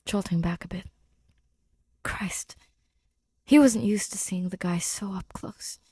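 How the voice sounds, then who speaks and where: A woman reads fiction slowly in a soft, mellow voice that often drops toward a whisper. Her delivery is hesitant and intimate, recorded very dry and close. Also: mid-range voice, no echo. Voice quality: breathy, often near a whisper. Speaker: podfic reader. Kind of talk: scripted fiction narration, intimate and subdued.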